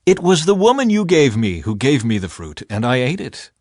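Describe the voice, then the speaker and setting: A man's voice: medium pitch, sing-song, normal speed, darkish tone, breathy edge, no room sound, clean studio sound. A man voicing the first man of scripture in an audiobook, earnest and emotional. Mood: fearful, defensive.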